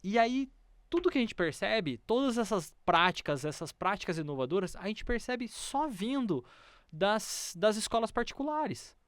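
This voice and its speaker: Brazilian man, higher-pitched podcast host. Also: very animated, moderate pace, a bit bright, smooth, no echo. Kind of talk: conversational interview with anecdotes.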